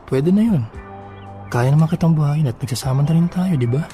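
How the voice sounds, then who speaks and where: An actor playing a patient, apologetic boyfriend in a Filipino radio drama, speaking gently and reassuringly. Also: male voice, a little high, fairly quick, lightly acted. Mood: soothing, apologetic. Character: soothing adult live-in boyfriend, patient and apologetic. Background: background music.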